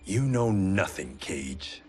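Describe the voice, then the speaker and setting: Low, proud, stern swordsman. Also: very animated, slow, full character voice. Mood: guarded. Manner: intense, curt, earnest. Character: proud, stern Japanese clan swordsman with a noble cause. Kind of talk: cinematic video game voice acting. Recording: cinematic music under the voice. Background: music.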